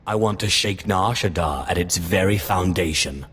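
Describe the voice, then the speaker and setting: An actor playing an arrogant, menacing Sith sorcerer in a video game, with an imperious, taunting manner. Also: male voice, low voice, moderate pace, a bit bright, breathy edge. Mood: cold, taunting. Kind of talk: video game cutscene acting. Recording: long hall reverb over music.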